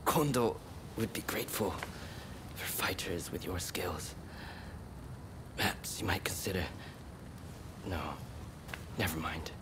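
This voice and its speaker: An actor playing a reserved, hesitant swordsman in a video game, speaking in an understated, hushed way. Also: sits low, slow pace, pauses often. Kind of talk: dramatic video game cutscene acting. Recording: game dialogue over noisy ambience.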